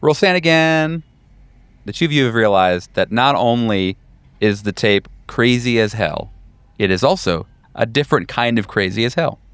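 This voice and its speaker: A man running a tabletop horror game, narrating scenes in a deliberate, descriptive way. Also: medium pitch, lively intonation, fairly bright tone, clean tone, no room sound. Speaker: game master.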